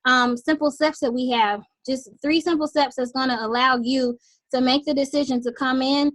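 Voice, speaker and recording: Upbeat high-voiced woman, slightly raspy. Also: steady tone, normal speed, no room sound. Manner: enthusiastic, frequent "um" fillers. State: losing her voice, slightly raspy. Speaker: host of a team sales call. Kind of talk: upbeat sales pitch on a conference call.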